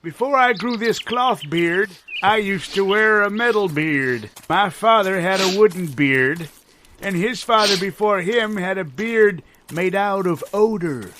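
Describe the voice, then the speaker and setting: A man's voice: high-pitched, a little slow, sharp tone, slight background noise. A man playing an odd, whimsical old grandpa, rambling through matter-of-fact reminiscences in an improvised audio comedy. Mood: reminiscing, whimsical.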